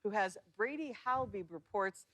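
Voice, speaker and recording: A woman's voice: medium pitch, expressive, normal speed, a bit bright, dry recording. An American woman co-anchoring TV news with casual on-air banter. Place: TV news studio.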